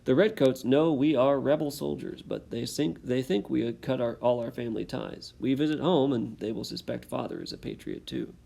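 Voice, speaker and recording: An earnest young rebel soldier speaks firmly and a little raised, as a man reading a storybook gives him voice. His voice is gravelly and husky. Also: medium pitch, sing-song, moderate pace, few pauses, warm tone, heavily acted.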